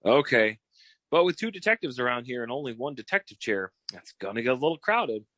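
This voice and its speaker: Brash young male sleuth, acted by a man, mid-pitched, casual and cheeky, old-time radio comedy. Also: sing-song, brisk, a bit bright, no echo. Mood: cheerfully brash. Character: brash, nonchalant young male would-be detective. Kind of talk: 1940s radio acting.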